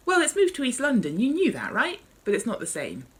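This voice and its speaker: A woman's voice: high voice, huge pitch swings, a little fast, slightly rough, voice pushed up, heavily acted. A woman reading out the lines of a chatty, gossipy male paramedic in an amused, conversational way from a memoir. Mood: wistful gossip. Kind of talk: author reading memoir dialogue aloud.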